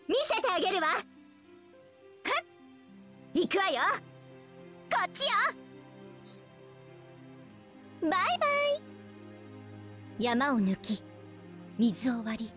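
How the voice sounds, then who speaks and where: High-pitched, teasing anime girl archer. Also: very animated, a little slow, long pauses, fairly bright tone, soft background music, heavily acted. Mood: cocky, combative. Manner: coy, teasing, theatrical. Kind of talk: Japanese anime game voice acting.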